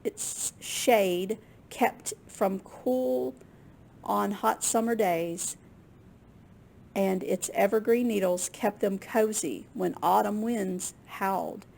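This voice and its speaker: A woman with a slightly husky, gravelly voice reading a picture book aloud to young children in an expressive way. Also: medium pitch, slow. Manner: expressive, with gasps and questions to young listeners. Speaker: children's librarian storyteller.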